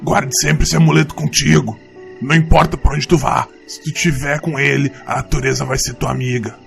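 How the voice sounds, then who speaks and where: A man with a Brazilian accent voicing a rustic forest troglodyte in an audio drama, a gruff but fond mentor with a gravelly voice and plain, ungrammatical speech. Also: mid-range voice, little pitch movement, fairly quick, soft background music. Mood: fond farewell. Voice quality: gravelly, creaky. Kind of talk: audio drama character acting.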